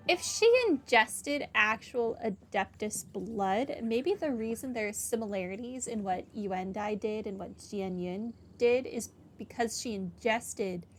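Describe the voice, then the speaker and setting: A woman plays a video game and comments casually. Her voice is a little high, and she muses aloud with faint music behind her. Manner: musing aloud.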